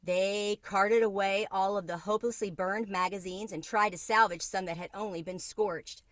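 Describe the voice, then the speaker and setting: A woman narrates a children's story with expressive, read-aloud delivery. Her voice sits at a middle pitch, and the recording is dry and close, with little room sound. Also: moderate pace, no echo. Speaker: storybook reader.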